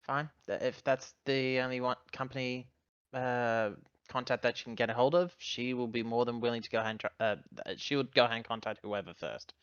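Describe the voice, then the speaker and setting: A man playing a tabletop roleplaying game, casually describing his character's moves in a wordy, halting way. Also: medium pitch, steady tone, clear, resonant tone, no room sound, highs cut off. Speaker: player in a tabletop game, voicing a female character.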